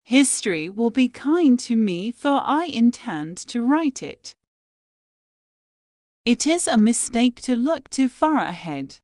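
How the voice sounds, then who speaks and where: A woman reads quotations in a slow, deliberate, measured way, pausing after each phrase. Her voice sits a bit high and moves up and down a lot. The sound is dry and close. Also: very animated, a little mellow, no room sound. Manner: measured, deliberate reading with pauses between phrases. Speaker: quote reader. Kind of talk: slow scripted quote reading.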